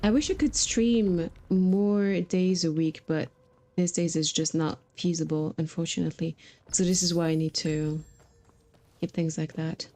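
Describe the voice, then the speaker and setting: A woman's voice: lowish voice, fairly even intonation, a little slow, dark tone. A woman streamer talking casually and informally while she plays a video game, chatty with her viewers. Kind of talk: casual livestream chat while playing.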